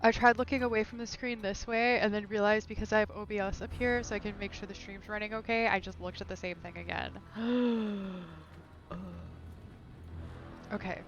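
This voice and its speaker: A woman's voice: medium pitch, steady tone, moderate pace, highs cut off, faint music behind. A woman chatting casually and thinking aloud while streaming a video game from her room at home. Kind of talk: casual live gameplay chat.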